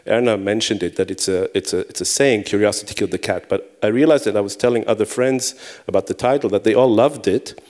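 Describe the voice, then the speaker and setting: Middle-aged man, native Hebrew speaker, lowish voice, chatty and self-deprecating keynote with restarts. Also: a little mellow. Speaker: conference speaker. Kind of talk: conference talk. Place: conference hall before an audience.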